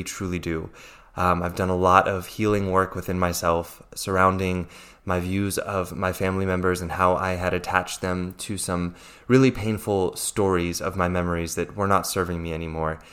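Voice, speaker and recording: American male podcast host, mindset coach, very low voice, sincere and reassuring. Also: fairly bright tone. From Virginia, USA. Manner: earnest, reassuring, repeats himself. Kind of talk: solo podcast monologue.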